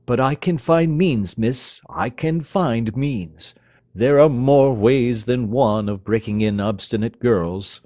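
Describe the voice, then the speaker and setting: A man's voice: medium pitch, slow pace, warm tone, no room sound, pitched above the performer's own voice, natural voice. A man voicing a stern, overbearing older father who blusters and threatens. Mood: menacing.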